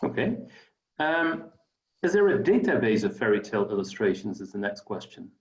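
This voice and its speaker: A man's voice: lowish voice, big pitch swings, normal speed. A man asking questions conversationally as an interviewer. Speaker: interviewer, special collections curator. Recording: echoey room, dull muffled sound.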